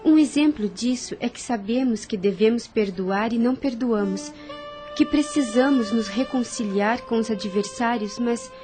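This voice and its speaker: Woman as a mellow, tender young Brazilian daughter. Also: fairly high pitch, normal speed, lightly acted. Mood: thoughtful reading. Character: sensitive studious young daughter, tender and thoughtful. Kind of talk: audio drama acting. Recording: soft music under the voice.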